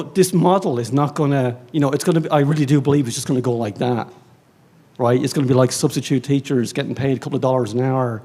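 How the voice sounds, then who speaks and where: An Irish man speaking as a librarian on a conference panel, rambling and animated, with many fillers and self-mocking asides. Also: mid-range voice. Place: conference stage.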